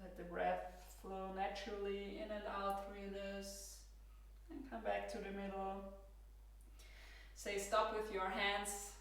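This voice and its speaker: A woman with an Austrian accent leads a yoga practice at an unhurried pace. She speaks with gentle, calm cues. Her voice comes from a bit away from the mic, in a strongly echoing room. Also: mid-range voice, far from the mic. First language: German. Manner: calm, gentle cueing with soft laughs. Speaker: yoga instructor. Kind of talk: guided yoga class. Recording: roomy echo, from across the room.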